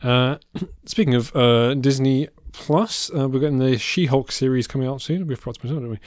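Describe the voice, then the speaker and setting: A man co-hosting a casual film podcast, wry and dry-humoured, with hesitant fillers between quick remarks. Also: medium pitch, darkish tone, no room sound. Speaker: podcast co-host.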